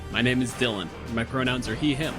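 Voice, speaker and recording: A man's voice: mid-range voice, fast, music in the background. A man from Canada co-hosting a podcast in a conversational way. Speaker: podcast co-host, physicist.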